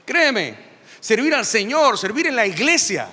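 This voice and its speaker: A middle-aged man preaching a lively sermon to a church, emphatic and repetitive, with touches of humor. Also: very high voice, unhurried, long reverb tail. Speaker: pastor.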